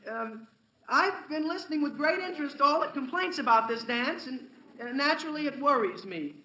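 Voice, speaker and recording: An actor playing an earnest, scheming, awkward teenage schoolboy in old radio comedy style, with a hesitant, stammering delivery. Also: male voice, extremely high pitch, expressive, fairly quick, pushed and bright, lo-fi sound, full character voice. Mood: hesitant, persuasive. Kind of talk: 1940s radio comedy acting. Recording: roomy old-time radio recording, faint hiss.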